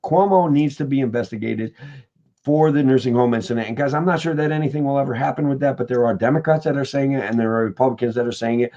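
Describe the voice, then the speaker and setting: A man with a middling pitch and a voice worn hoarse, rambling casually to his viewers and peppering every thought with "guys" and "okay." He talks like a candidate chatting on a late-night stream. The audio is dull and lo-fi. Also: quick pace, warm tone. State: voice getting hoarse. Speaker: political candidate livestreaming. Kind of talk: casual livestream talk to viewers.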